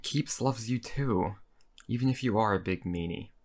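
A man playing a gentle, timid, clingy horseman of famine in a comedy roleplay, soft and hesitant, with a pleading way of talking. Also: fairly low pitch, moderate pace. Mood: gentle chiding. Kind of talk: boyfriend ASMR comedy roleplay.

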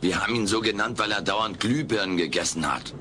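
Cheeky alien: low, swooping, wisecracking, impatient, comedy audio play on a noisy old cassette. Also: male voice, a little fast, pushed and bright. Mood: insistent. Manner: wisecracking, theatrical. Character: cheeky, impatient, food-obsessed male furry alien.

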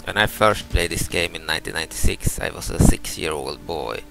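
A man in his thirties from Sweden, a native Swedish speaker, giving casual commentary over a video game, halting and restarting, explaining each move. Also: deep voice, lively intonation, gravelly, heavy background noise. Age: 30s. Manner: halting, repeats words and restarts, explains moves step by step. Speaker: gameplay commentator. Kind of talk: casual let's-play commentary.

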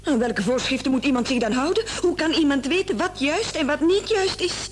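Distraught wife in a radio play. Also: female voice, high voice, animated, brisk. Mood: upset, protesting. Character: distraught, reproachful wife of a gravely ill man. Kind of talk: radio drama acting. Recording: old radio play, faint noise and hum.

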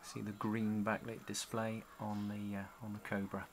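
A man giving a casual, halting walkthrough as a hobbyist showing off his gear. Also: lowish voice, completely flat delivery. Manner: halting, pausing between short phrases. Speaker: CB radio hobbyist presenting a product demo. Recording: home camcorder with noticeable background noise.

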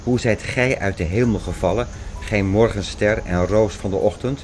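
A man's voice: lowish voice, normal speed, darkish tone, a little hiss or hum, close to an everyday voice. An actor playing a fervent man expounding apocalyptic theories in a radio play, insistent, didactic and declamatory. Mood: excited, insistent. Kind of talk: radio play dialogue, insistent lecturing and reciting.

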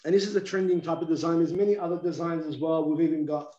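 A man's voice: on the high side, steady tone, quick pace, warm tone, slight background noise. A man in his thirties, a business owner, chatting casually on a video call from an office, with lots of ums. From Australia. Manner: chatty, gestures with his hands, many ums. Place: office, indoors. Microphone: webcam on a video call. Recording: echoey, muffled video call.

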